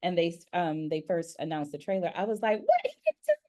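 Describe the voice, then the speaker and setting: A woman hosting a casual interview, chatting in an animated, expressive way. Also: lowish voice, brisk. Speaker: interview host. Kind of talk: casual chat.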